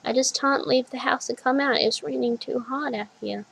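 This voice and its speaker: A woman voicing a small toddler girl who is earnest and gentle, speaking in simple childlike words. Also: high-pitched, steady tone, normal speed, creaky, slightly rough, voice pushed up, full character voice, rough recording. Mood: soft.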